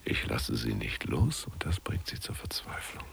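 An actor playing a brooding, bitter husband in a radio play, musing to himself in a hushed voice. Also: male voice, a bass voice, big pitch swings, normal speed, vocal fry, whispered, heavily acted. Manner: musing inner monologue, hushed.

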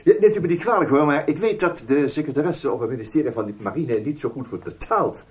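An actor playing a courteous, quietly sly government investigator in a radio play, with a husky voice and a hesitant, musing way of questioning. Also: male voice, a little high, expressive, fast talker. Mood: polite, sly. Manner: hesitant, polite, musing, repeats himself. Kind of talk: Dutch radio drama acting.

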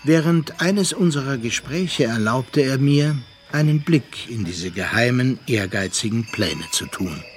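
An actor playing a reflective storyteller, narrating a literary radio drama in a measured, articulate manner. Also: male voice, medium pitch, lively intonation, lightly acted. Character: reflective male storyteller recounting a colonial adventure. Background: prominent music.

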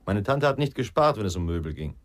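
A skeptical seller bargaining in a radio play. His voice sits a little low and swings widely in pitch. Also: very animated, fast talker, slight background noise. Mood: haggling. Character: bargaining nephew selling inherited antique furniture.

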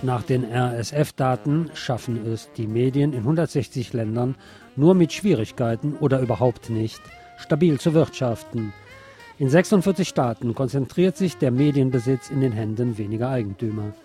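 Male radio presenter, mid-range voice, scripted delivery over clear background music. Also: mid-range voice, moderate pace, dark tone. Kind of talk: scripted news reading, later live eyewitness report.

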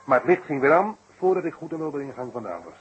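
A man's voice: fairly high pitch, sing-song, fast talker, highs cut off. A man playing a chatty, down-to-earth circus electrician in a Dutch radio drama, with colloquial, wordy speech. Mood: grumbling. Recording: faintly noisy 1960s radio recording.

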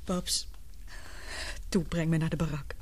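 A young woman playing an anguished daughter in love in a radio drama, confiding in halting, earnest, emotional speech. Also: deep voice, fairly even intonation, a little mellow. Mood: distraught. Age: young adult.

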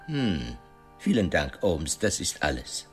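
An actor playing a shrewd, self-satisfied detective in a German radio crime drama, courteous and deliberate in his questioning. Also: deep voice, huge pitch swings, soft background music, heavily acted. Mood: probing, polite.

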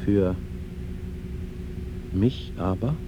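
An actor playing a grieving poet who laments his lost beloved in halting, mournful radio-play recitation. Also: sits low, dull audio, background noise. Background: loud music.

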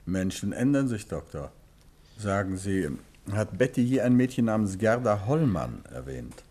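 A calm, courteous detective in a 1950s radio play. His voice is lowish with a rough, husky grain. He questions in a measured, unruffled way. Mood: probing. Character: calm, courteous gentleman detective and crime writer. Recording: 1950s radio drama recording.

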